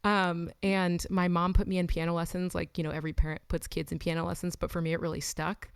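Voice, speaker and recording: Brief: woman in her 20s from Los Angeles, smooth lowish voice, chatty, dry close sound. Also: level tone, fast, dry recording. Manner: chatty, frequent "like" and "um", laughs between thoughts. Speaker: musician guest. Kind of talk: casual interview chat.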